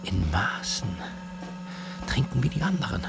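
A bitter, restless writer wrestling with his craving in a radio play. His voice is creaky and often hushed, turned inward like a confession. Music runs under his words. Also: medium pitch, very animated, a little slow. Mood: brooding, hushed. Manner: introspective, confessional. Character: brooding male writer and recovering alcoholic, restless and bitter. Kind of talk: German radio play monologue.

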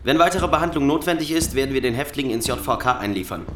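An actor playing a rigid, rule-bound prison escort officer speaking firmly in a radio drama, in an echoey noisy room. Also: male voice, mid-range voice, expressive, quick pace, fairly bright tone, far from the mic, natural voice. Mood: firm, officious. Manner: officious, firm.

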